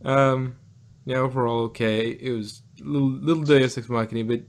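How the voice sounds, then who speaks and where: A man co-hosting a casual review show, his voice sitting at an everyday middle pitch. He rambles, restarts and jokes, breaking into laughter often. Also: fairly even intonation, measured pace. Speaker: podcast co-host reviewing an audio drama.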